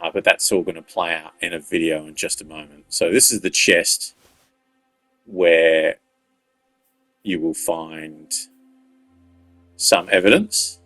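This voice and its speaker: Low-voiced man giving casual game tips. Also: steady tone, slow pace, pauses often, a bit bright, no room sound, low-quality recording. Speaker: gaming video creator giving walkthrough tips. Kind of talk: casual unscripted game commentary. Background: faint game music.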